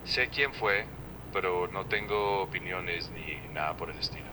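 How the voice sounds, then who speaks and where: A deep, low male synthetic voice answers in calm, evenly paced explanations. His accent is neutral Latin American with a slight Colombian tint. The sound comes from a phone speaker, with clear street noise behind it. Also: unhurried. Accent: neutral Latin American Spanish leaning Colombian. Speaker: AI voice assistant.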